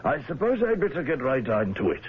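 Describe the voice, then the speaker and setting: Husky, animated whaling boss. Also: male voice, medium pitch, fairly quick. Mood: approving, chuckling. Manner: hesitant, avuncular, chuckling. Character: gruff, genial middle-aged whaling fleet owner. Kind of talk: old-time radio drama acting. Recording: dull, lo-fi old radio broadcast.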